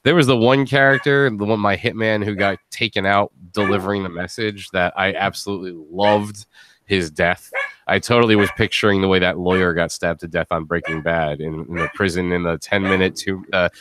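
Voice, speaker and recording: A man with a fairly low voice hosts a casual podcast panel. He is jokey and easygoing in his wording, chatting informally with guests. The recording carries faint noise and small clicks. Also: fairly even intonation, brisk. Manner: jokey, prone to laughing. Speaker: podcast host and voice actor.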